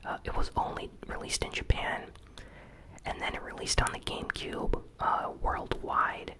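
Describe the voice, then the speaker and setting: Breathy whisper, ASMR, gaming news host, casual, relaxed, informal phrasing. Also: normal speed.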